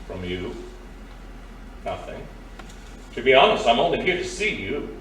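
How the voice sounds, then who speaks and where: Man acting a smooth-mannered noir villain, polite and menacing, mid-pitched, roomy radio drama sound. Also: sing-song, fairly bright tone. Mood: calm menace. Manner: courteous, measured, wordy. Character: suave, menacing crime boss villain. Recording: roomy, distant, faint background noise.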